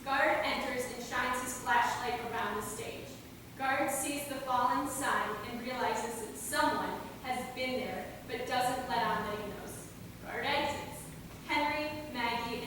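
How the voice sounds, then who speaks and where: A woman narrates scripted stage directions in a slightly high voice. She is recorded far from the mic in a theater with long reverb and clear noise. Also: measured pace. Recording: very echoey hall, far mic, noisy.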